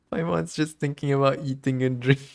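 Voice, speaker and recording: A woman's voice: a bass voice, vocal fry, a little mellow. A woman doing casual, chatty, giggly gameplay commentary as a game streamer. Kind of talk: casual gameplay commentary, reading dialogue aloud.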